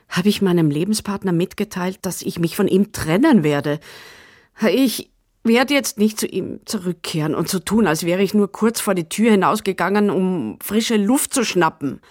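An actress playing a determined, wronged lover in an absurdist radio drama, insistent and literal-minded. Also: female voice, lowish voice, normal speed, darkish tone, no echo, studio quality, natural voice. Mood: resolute. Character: determined, wronged lover demanding her new life.

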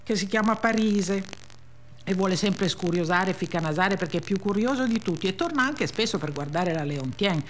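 An actress plays a blunt, resentful woman telling her life story in a radio reading. Her phrasing is childlike and deadpan, running on in a middle pitch, with some noise on the recording. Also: normal speed, close to an everyday voice. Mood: wry, matter-of-fact. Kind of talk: dramatic reading of a first-person memoir.

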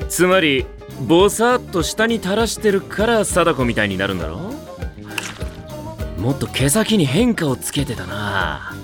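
Anime drama team captain, teenage, slightly high voice, hugely expressive, teasing and decisive, over music. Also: male voice, a little slow. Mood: scheming, confident. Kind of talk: Japanese anime audio drama acting. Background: background music.

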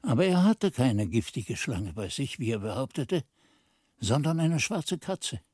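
A man's voice: mid-range voice, big pitch swings, measured pace, darkish tone, husky, no room sound. A man playing an earnest monastery narrator in a radio drama, recounting events with careful, storytelling delivery.

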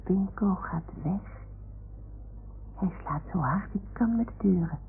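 A woman's voice: mid-range voice, slow, vocal fry. A woman voicing a naive, curious little toy dog in a radio play, with a childlike, wondering manner. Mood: naive, confiding. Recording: noisy, tinny old radio recording.

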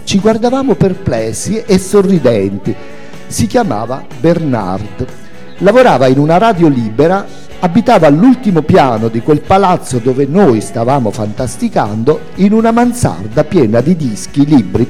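A man's voice: fairly high pitch, warm tone. A man reading a memoir aloud as a literary piece, with dramatic storytelling phrasing. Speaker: reader of a memoir. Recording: reverberant hall, faint music behind. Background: faint music.

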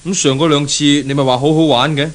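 A man acts out a spurned young boyfriend with a Hong Kong accent and a fairly high voice. He sounds meek, polite and pleading, in the style of 1970s Cantonese radio drama. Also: level tone, quick pace, lightly acted. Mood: hurt, pleading.

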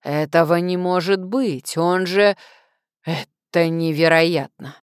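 A woman voicing a gruff, bullying male crime boss who barks coarse demands in an audiobook. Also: on the low side, slow, dry recording, studio quality. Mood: angry, demanding.

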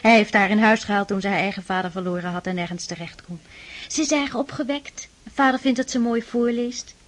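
An actress playing a shy, devout aristocratic sister in a Dutch radio drama, speaking earnestly and tenderly, with pleading admonishment. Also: female voice, mid-range voice, brisk, close to an everyday voice. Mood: shy, confiding.

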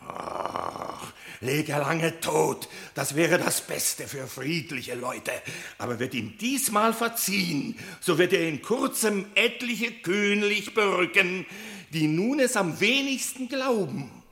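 A gravelly, aggrieved wolf. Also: male voice, high voice, expressive, pushed and bright, exaggerated voice. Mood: resentful. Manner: slow, pleading, solemn. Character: aggrieved male wolf, bitter accuser at court. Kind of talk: literary radio drama, verse declamation.

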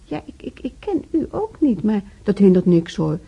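A woman's voice: medium pitch, big pitch swings, moderate pace, creaky, slightly rough, dull audio, full character voice. An actress playing a chatty, well-meaning council visitor in a radio drama, stammering and repeating her words solicitously. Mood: chatty, awkward.

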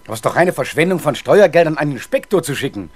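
A man's voice: a little high, lively intonation, very fast, a little mellow, a little hiss or hum, close to an everyday voice. An actor playing an indignant, pompous male town councillor in a 1970s German radio crime drama. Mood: indignant, blustering. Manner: blustering, pompous.

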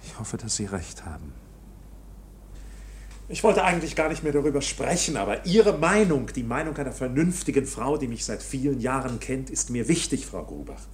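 A man's voice: mid-range voice, very animated, normal speed, slightly rough. A man playing an anxious, proud clerk in a radio drama, polite but uneasy and insistent. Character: anxious, proud male bank clerk under arrest.

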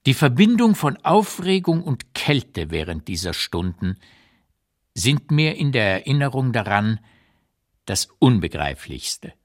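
A man reading as an old writer looking back on wartime London in a measured, deliberate literary radio narration. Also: medium pitch, very animated. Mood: reflective, unsparing. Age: older. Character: old male writer recalling wartime London, unsparing, reflective.

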